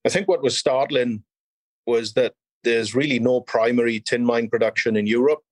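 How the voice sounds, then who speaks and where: A man in his fifties with a Welsh accent, speaking as an interview guest in a measured, explanatory way. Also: medium pitch, fairly even intonation, no echo. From South Wales. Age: 50s. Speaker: podcast guest, mining company chief executive and geologist. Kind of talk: conversational interview, recounting career.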